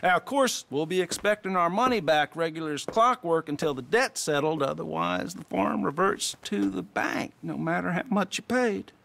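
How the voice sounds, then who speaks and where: An actor playing a glib, wry middle-aged American banker in a western video game, drawling theatrically with jovial condescension. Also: male voice, sits high, expressive, moderate pace, vocal fry, hardly any pauses, a bit bright, full character voice. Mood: glib, businesslike. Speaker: bank manager. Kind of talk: video game cutscene acting.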